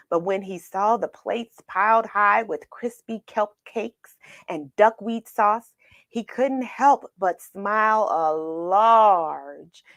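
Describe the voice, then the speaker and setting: Animated, unhurried woman reading a storybook. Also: mid-range voice, no echo. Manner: expressive, dramatic pauses, asks the listener questions. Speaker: children's storybook reader. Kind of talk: animated read-aloud storytelling.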